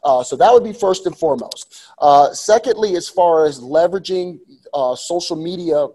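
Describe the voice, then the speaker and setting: A man's voice: a little high, animated, normal speed, dark tone. A man in his forties speaking as a guest coach in a casual interview, enthusiastic and emphatic, repeating phrases to drive them home. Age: 40s.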